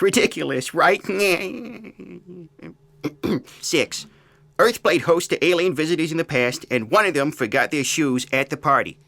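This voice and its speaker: A man's voice: on the high side, creaky, a bit bright. An actor playing an irritable, disgraced geologist in a comic audio drama, testy, sarcastic and pedantic as he explains himself.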